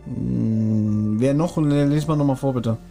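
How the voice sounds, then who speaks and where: A man's voice: medium pitch, slow pace, creaky, mellow, soft background music. Chatty man thinking aloud. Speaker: podcast co-host guessing episodes. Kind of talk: casual podcast chat.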